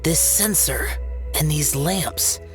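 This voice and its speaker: Stern, brooding immortal, music behind. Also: male voice, fairly high pitch, expressive, slow pace. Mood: reserved curiosity. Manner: formal, terse, grave. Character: stern, brooding young-sounding male immortal demon slayer.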